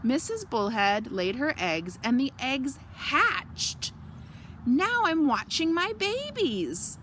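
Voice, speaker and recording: A woman voicing a proud, contented father fish in a picture story, giving chipper, unhurried replies. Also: high voice, sing-song, a bit bright, a little hiss or hum, pitched above the performer's own voice, full character voice.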